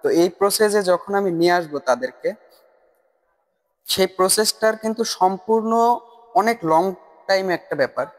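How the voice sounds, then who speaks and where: A man giving a casual, insistent explanatory voice-over for a tutorial video. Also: high voice. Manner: insistent, repetitive, addressing viewers as brother. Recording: long reverb on the voice. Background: voice-over recorded separately from the screen capture.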